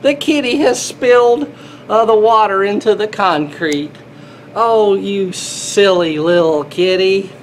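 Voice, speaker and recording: A man's voice: high voice, takes it slowly, a little hiss or hum, poor audio. A middle-aged man reading a children's picture book aloud, playful and exclaiming, talking as if to small children. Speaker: grandfather reading to children, air traffic controller. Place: small indoor airport control room.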